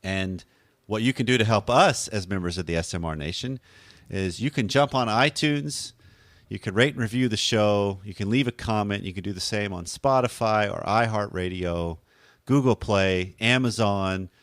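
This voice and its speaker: A man hosting a podcast in a relaxed, chatty way, with a slightly hoarse deep voice and a habit of correcting himself as he goes. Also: moderate pace, dry recording. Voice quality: deep radio voice. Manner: conversational, rambling, self-correcting. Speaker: podcast host. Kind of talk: casual podcast talk.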